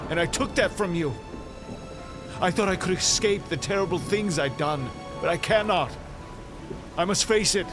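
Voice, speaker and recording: An aging king in a radio play, heavy with remorse and pleading earnestly. His grave, weighty voice sits rather high, with dramatic music clearly behind it. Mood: remorseful. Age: middle-aged. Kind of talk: dramatic audio drama acting.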